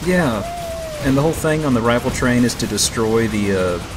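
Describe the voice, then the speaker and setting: A man's voice: mid-range voice, expressive, moderate pace, low-quality recording. A man hosting a let's play, giving casual, joking gaming commentary. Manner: joking banter. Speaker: let's play host playing the game. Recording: game music behind the voice. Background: video game music.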